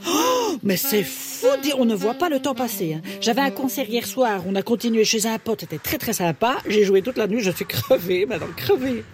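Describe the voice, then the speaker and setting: A woman voicing a chatty, proud female saxophone with a Belgian accent in a children's radio story, talking in a lively, theatrical way. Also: a little high, normal speed, hardly any pauses. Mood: sleepy chatty. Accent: Belgian French. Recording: with loud music behind.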